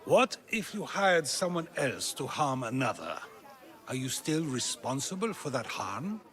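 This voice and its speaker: A calm older philosopher from a video game, measured and searching in his questions. His voice rises and falls with lively inflection. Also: medium pitch. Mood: probing.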